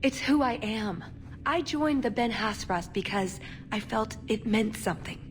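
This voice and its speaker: A woman's voice: a little high, expressive, measured pace, a little hiss or hum. A woman playing a wry, earnest young elven agent in a video game. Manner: flippant and wry, turning earnest.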